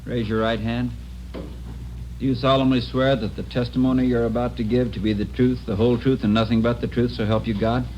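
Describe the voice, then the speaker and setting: An actor playing an impassive American deputy coroner, speaking formally and procedurally in a 1950s radio drama. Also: male voice, medium pitch, level tone, a little fast, a little mellow, natural voice. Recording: dull, faintly noisy vintage radio recording.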